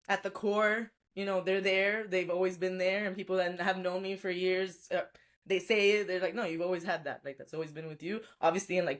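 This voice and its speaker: A woman podcast guest, a life coach, telling her story in a reflective, chatty way with frequent laughs. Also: medium pitch. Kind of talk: casual interview storytelling.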